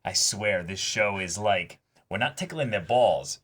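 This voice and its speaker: A man's voice: fairly low pitch, animated, a little slow, a bit bright, slightly rough. A man chatting casually as a reaction show host, often repeating words as he searches for his point. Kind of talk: casual chat reacting to anime.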